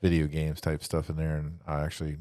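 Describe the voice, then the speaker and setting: A man's voice: very deep voice, completely flat delivery, fairly quick, dark tone, no echo, studio quality. A man hosting a podcast in a conversational devotional style, earnestly exhorting listeners and repeating phrases as he goes. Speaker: podcast host, church ministry speaker.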